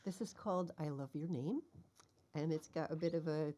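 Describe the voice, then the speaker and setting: A woman, a guest singer-songwriter, chatting casually and telling an animated story with laughs. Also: low-pitched, moderate pace.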